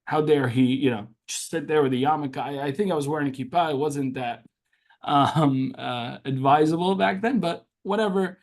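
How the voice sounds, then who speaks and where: A man's voice: on the high side, normal speed. Young syrian-born guy, arabic's his first language, telling his story in an interview, earnest, trips over his words and starts over a lot. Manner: earnest, with frequent restarts and fillers. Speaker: guest.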